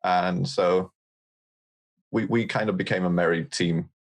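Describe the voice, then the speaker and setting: A man's voice: low-pitched, steady tone, unhurried. A man chatting casually as a guest on a podcast. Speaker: guest, audio drama lore editor.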